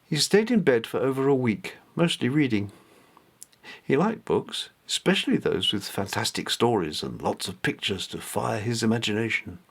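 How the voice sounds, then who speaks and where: A man's voice: mid-range voice, lively intonation, moderate pace, gravelly, slightly rough. Man reading a storybook aloud. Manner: amateur, careful read-aloud delivery. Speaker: author reading own novelette. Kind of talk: scripted story narration.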